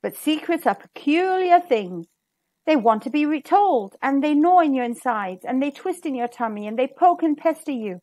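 Female storybook narrator: expressive, emphatic, very lively intonation, dry close recording. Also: sits high, moderate pace, no echo. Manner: dramatic, emphatic. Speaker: storybook reader. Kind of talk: expressive children's read-aloud narration.

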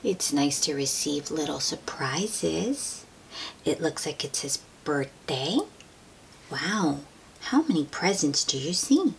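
A woman reading a picture book aloud to young children, gently drawing out her words and asking them questions in an expressive way. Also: low-pitched, slow pace, gravelly. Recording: steady noise behind the voice.

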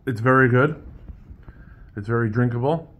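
A man's voice: mid-range voice, moderate pace, lo-fi sound. A man interviewing someone casually indoors, informal and thinking aloud as he goes, recorded on a phone. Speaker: interviewer, beverage seller. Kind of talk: casual chat, interview questions. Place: indoor room at a table.

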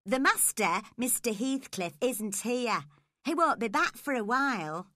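A woman voicing an adult female housekeeper in a storybook reading, in a brisk, matter-of-fact way. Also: fairly high pitch, animated, bright, forceful tone, dry recording, clean studio sound.

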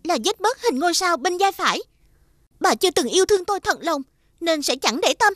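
A woman playing a sweet, earnest young heroine in an animated fairy tale. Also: extremely high pitch, brisk, dry recording. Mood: resolute.

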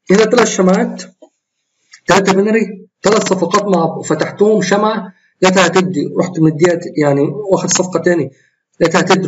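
A man with an Egyptian Arabic accent, voice a little tired and hoarse, talking through his trades in a screen-recorded walkthrough, often breaking off and correcting himself. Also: high-pitched, dull audio, background noise. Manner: rambling, self-correcting, explains while trading live. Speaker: trading tutorial host.

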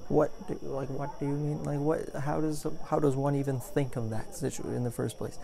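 A young man acting as a caring, goofy boyfriend in an intimate ASMR roleplay, talking in a rambling, halting way. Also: mid-range voice, level tone, gravelly, warm tone, close to an everyday voice. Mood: amused, chatty. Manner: rambling, halting, chuckling storyteller. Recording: music playing behind the voice.

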